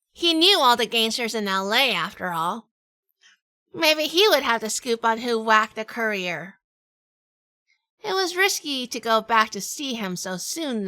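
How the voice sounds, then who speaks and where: A woman playing a sardonic, quick-witted female detective in a hardboiled noir audio drama, narrating and talking with wry humor. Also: on the high side, bright, forceful tone, no echo, full character voice. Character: sardonic quick-witted 1940s female gumshoe, weary wife.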